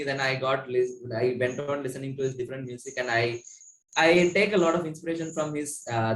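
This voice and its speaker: Echoey-sounding young Indian man, slightly high voice. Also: a little hiss or hum. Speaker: musician, interview guest. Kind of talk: casual chat. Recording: echoey room.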